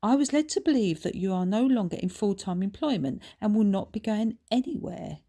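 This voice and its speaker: A woman's voice: medium pitch, expressive, a little fast, dark tone, pitched above the performer's own voice. A woman voicing a smug, pompous schoolboy who talks in a very formal, well-spoken way. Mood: haughty. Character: smug, pompous, newly posh schoolboy son.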